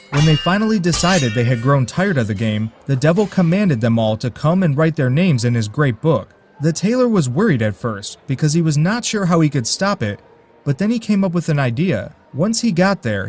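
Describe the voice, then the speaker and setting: A man's voice: on the high side, a little mellow. A man narrating a folk tale in a dry, wry way, with asides to his listeners. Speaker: storyteller.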